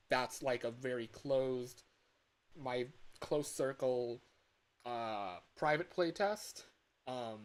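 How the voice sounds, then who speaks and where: A man talking casually on a livestream as a game developer, thinking aloud in a hesitant, halting way. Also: mid-range voice, fairly bright tone.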